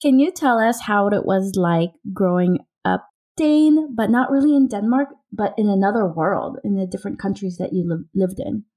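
A woman's voice: medium pitch, expressive, normal speed, dark tone. A woman hosting a podcast, chatting in a casual, upbeat way and often restarting her sentences. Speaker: podcast host. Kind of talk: casual interview chat.

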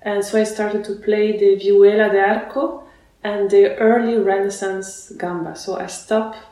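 A woman born in Russia, a guest musician being interviewed, speaking haltingly with many fillers and restarts. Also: medium pitch, flat delivery, warm tone. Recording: roomy echoey room.

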